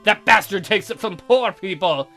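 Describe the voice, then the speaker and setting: A woman's voice: mid-range voice, expressive, normal speed, fairly bright tone, faint music behind, voice pushed down. A woman voicing a zealous, angry young female vigilante in a visual novel, preaching and giving bossy orders. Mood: accusing.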